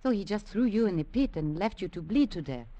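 An actress playing a gentle, earnest young servant woman, speaking softly and quietly pleading in a 1950s radio drama. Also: mid-range voice, animated, brisk, muffled recording, close to an everyday voice.

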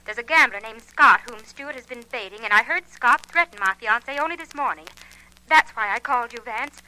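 Worried young society woman, high pitch, piercingly bright tone, insistent, old radio mystery. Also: fairly quick, rough recording. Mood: urgent, worried. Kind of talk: 1940s radio mystery acting.

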